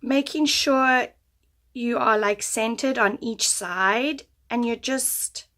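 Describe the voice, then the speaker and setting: Casting: woman craft demonstrator, slightly higher voice, unhurried, voice going from a cold, chatty how-to delivery. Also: a bit bright. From United States. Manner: chatty, friendly step-by-step instruction. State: losing her voice. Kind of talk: craft tutorial. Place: indoor craft table.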